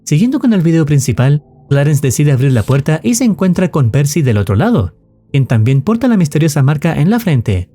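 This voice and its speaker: A man giving scripted narration of a horror story recap in a formal, measured storytelling manner. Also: medium pitch, mellow. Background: music.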